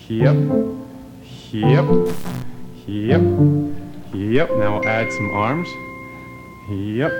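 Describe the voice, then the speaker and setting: A man's voice: lowish voice, low-quality recording. A man teaching pantomime movement, giving short, encouraging, repeated cues as he demonstrates. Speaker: pantomime performer and teacher, guest.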